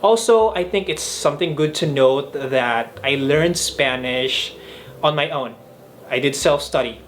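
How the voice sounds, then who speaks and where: A young man from the Philippines, Filipino his first language, telling in a measured, earnest way how he learned languages on his own. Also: fairly high pitch, a little hiss or hum. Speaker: language vlogger. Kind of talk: scripted vlog narration.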